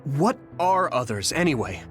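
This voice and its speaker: A man's voice: on the high side, very animated, moderate pace, fairly bright tone, soft background music. An actor playing an earnest, thoughtful young male platoon leader in a video game dub. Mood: troubled, questioning.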